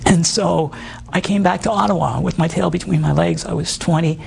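A hoarse Canadian man in his fifties, a guest in a talk show interview, giving an earnest personal testimony full of fillers and restarts. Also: on the high side, steady tone, fairly quick, slight background noise.

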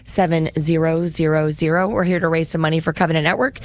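A woman's voice: low-pitched, fairly even intonation, fast talker. A woman hosting a radio pledge drive, chatty and upbeat, listing gifts and repeating the phone number. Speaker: radio fundraiser host.

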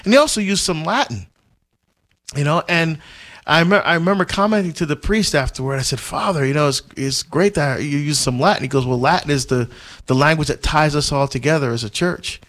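Animated Barbados-born deacon, higher voice. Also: male voice, a little fast. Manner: animated storyteller, many fillers and restarts, frequent laughs. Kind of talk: casual conversational chat.

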